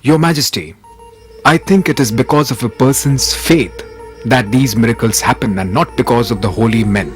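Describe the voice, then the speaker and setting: A man acts out a wise and steadfast royal minister in a cartoon moral tale. He speaks at a middling pitch, respectful and measured, with music behind him. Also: close to an everyday voice. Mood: firm. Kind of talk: animated moral tale acting.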